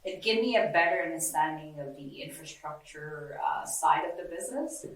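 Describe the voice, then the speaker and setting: A woman giving brisk, matter-of-fact short answers in an office interview. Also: sits low, expressive. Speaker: office employee being interviewed. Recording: echoey room, distant mic.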